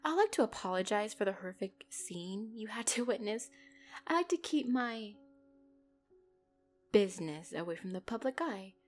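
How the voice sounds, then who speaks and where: A commanding female mafia boss, acted by a woman, speaks over music with a very lively, swinging pitch. She is possessive and icily playful. Her controlled, condescending delivery wraps threats in mock sweetness, in the style of a dramatic roleplay audio. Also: moderate pace, lightly acted.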